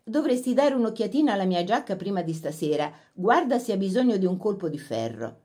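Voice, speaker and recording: A woman voicing a young man who teases his sister in a quick, offhand way in a storybook reading. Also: medium pitch, sing-song, dark tone, pitched above the performer's own voice, natural voice. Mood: teasing. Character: breezy, teasing young man, the brother.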